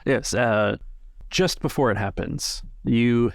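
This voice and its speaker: A man's voice: mid-range voice, slow, no echo, clean studio sound. A man narrating a tabletop roleplaying game as game master.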